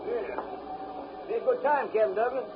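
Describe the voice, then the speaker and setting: High-voiced officious agent. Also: male voice, moderate pace. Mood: businesslike. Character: officious shipping agent, coolly callous, businesslike. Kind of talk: 1930s radio drama acting. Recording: dull, noisy 1930s radio recording.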